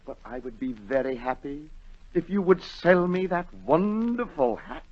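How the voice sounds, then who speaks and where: A male actor playing a vain, excitable storybook king in a 1940s radio drama, theatrical and imperious. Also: a little high, unhurried. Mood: coaxing. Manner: theatrical, imperious, swinging from hushed awe to outbursts. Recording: dull, lo-fi 1940 radio broadcast.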